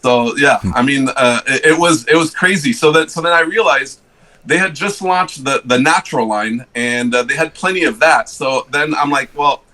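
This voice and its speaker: A man's voice: mid-range voice, normal speed, bright, forceful tone, rough recording. A middle-aged man from Switzerland, a guest in a casual podcast chat, telling stories with many fillers and restarts. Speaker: cigar rep guest.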